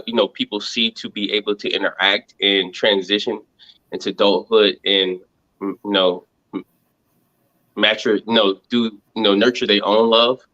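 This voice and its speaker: A man, answering casual interview questions in a halting, reflective way, thinking aloud. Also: on the low side, a bit bright, dull audio. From Montgomery, Alabama, United States. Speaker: reality show contestant interviewed as guest.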